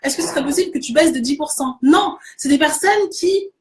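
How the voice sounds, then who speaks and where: A woman's voice: high voice, a little fast. A woman hosting a casual conversation, agreeing enthusiastically and explaining with many fillers. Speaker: host.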